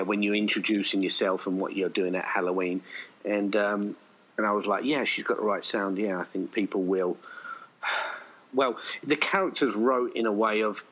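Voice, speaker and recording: A man's voice: on the low side, a bit bright, slightly rough, low-quality recording. A man chatting casually in an interview, rambling and hesitant as he thinks aloud. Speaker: writer-director of an audio play being interviewed.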